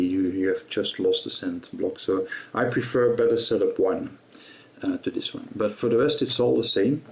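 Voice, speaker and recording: A man with a fairly low voice and plenty of gravelly fry presents a gear tutorial. He explains things casually and step by step. His delivery is hesitant, often stopping and repeating a word before moving on. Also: darkish tone.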